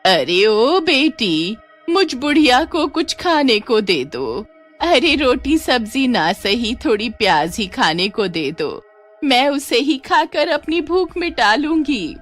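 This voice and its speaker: A woman's voice: fairly high pitch, very animated, soft background music, voice pushed up, heavily acted. A woman voicing a hungry, coaxing old village woman who is secretly a witch, in a horror folktale. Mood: pleading. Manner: slow, coaxing, pleading. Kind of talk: dramatized Hindi horror folktale.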